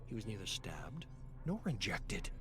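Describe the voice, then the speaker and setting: A man reading a scripted story in a theatrical, intense way that moves between hushed and urgent. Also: lowish voice, sing-song, a little slow. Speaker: narrator. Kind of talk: dramatic scripted story narration. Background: background music.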